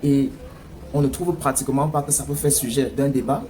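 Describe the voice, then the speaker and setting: A man's voice: mid-range voice, fairly even intonation, a little fast, warm tone. A man with a Cameroonian French accent giving political commentary in an emphatic way, full of rhetorical questioning. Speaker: news commentator. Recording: clear background noise.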